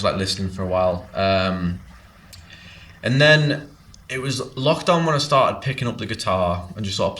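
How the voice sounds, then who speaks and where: A man's voice: lowish voice, a bit bright. A young man from Teesside, a guest singer-songwriter talking casually in a pub, rambling and full of ums and likes. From Teesside, North East England.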